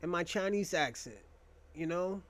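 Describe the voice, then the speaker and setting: A young man with a notably high voice holds forth in a loose, rambling monologue. He jokes crudely and swears freely. The sound is dry and close, with no room echo. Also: animated, moderate pace, fairly bright tone. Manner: profane, rambling, joking. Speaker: podcast host. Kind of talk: casual monologue.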